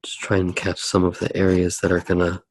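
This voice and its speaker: A soft-spoken man narrating an ASMR drawing demonstration in an unhurried, hesitant way. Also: low-pitched, completely flat delivery, no echo. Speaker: ASMR artist, host.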